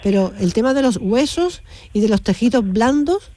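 A woman's voice: fairly high pitch, lively intonation, normal speed, dark tone. A woman hosting a casual radio interview, asking questions in an informal, hesitant way. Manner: inquisitive, informal, hesitant phrasing. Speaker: radio host. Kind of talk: casual live phone interview.

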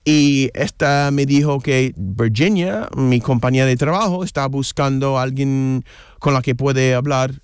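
A man's voice: medium pitch, a little slow, clean tone, no echo, studio quality. A man whose first language is English, speaking Spanish with an English accent as an interview guest, hesitant and searching for words with many fillers. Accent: English-speaking learner of Spanish. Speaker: interview guest, newspaper columnist. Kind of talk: casual interview chat.

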